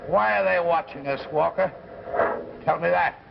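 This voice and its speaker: Impassioned scientist, noisy old broadcast. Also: male voice, a little high, moderate pace, gravelly. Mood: paranoid urgency. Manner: theatrical, impassioned, insistent rhetoric. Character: obsessed, desperate male scientist professor, feared mad. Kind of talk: 1950s science fiction anthology drama acting. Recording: dull, noisy 1950s broadcast recording.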